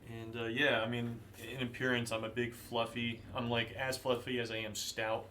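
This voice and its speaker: A man's voice: medium pitch, flat delivery, normal speed, bright, forceful tone, a little hiss or hum. A man playing a tabletop game, casually describing his character with hesitant fillers. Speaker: player.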